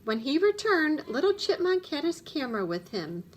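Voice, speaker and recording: A woman narrating a children's storybook aloud in an expressive read-aloud style. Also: sits high, moderate pace. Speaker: storybook reader.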